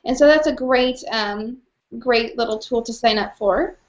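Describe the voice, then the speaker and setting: A woman's voice: fairly high pitch, measured pace. A woman co-hosting a casual chat, recommending classroom tools over a video call. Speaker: podcast co-host and educator. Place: small indoor office, video call. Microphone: shared computer microphone. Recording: roomy, dull lo-fi video call.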